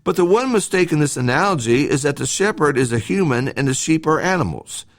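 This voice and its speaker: Man reading a devotional, widely varied pitch. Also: animated, brisk. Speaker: church podcast host reading his devotional. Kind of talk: scripted devotional talk, read aloud.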